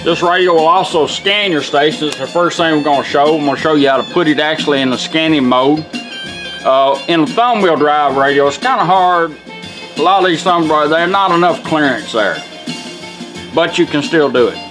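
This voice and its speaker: A man's voice: a little high, normal speed, over music, poor audio. A man giving a casual, hands-on product demonstration, explaining things step by step in plain, informal words. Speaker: car radio restorer demonstrating a product.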